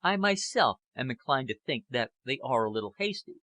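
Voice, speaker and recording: A man plays a measured aristocratic gentleman in an audiobook novel, earnest and careful. His formal speech is clear-edged and bright, with lively intonation. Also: mid-range voice, dry recording. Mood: measured, diplomatic. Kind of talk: audiobook character acting.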